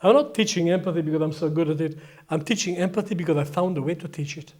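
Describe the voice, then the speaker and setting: A middle-aged Hebrew-speaking man with an Israeli accent, teaching empathy in short, deliberate statements that pause for an interpreter. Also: fairly high pitch, warm tone. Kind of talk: lecture with live interpreter.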